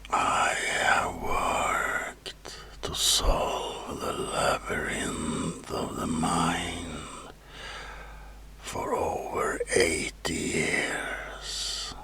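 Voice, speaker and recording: Whispering, gravelly, sinister mad doctor. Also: male voice, low-pitched, monotone, sharp tone, heavily acted. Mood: fervent, secretive. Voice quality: breathy whisper with gravelly creak. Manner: slow, deliberate, menacing, theatrical pauses. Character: sinister mad asylum doctor, over eighty years studying, obsessed with immortality. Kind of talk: whispered ASMR horror roleplay.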